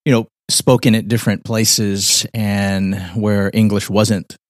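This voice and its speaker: A man interviewing a guest in a relaxed conversation, thoughtful and hesitant, often restarting his sentences. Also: lowish voice, slow, no room sound, studio quality.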